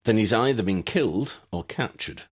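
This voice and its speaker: A man's voice: fairly low pitch, lively intonation, moderate pace, slightly rough, voice pushed up. A man voicing a plain-spoken, decisive young man in an audiobook. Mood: doubtful. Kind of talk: audiobook character voice.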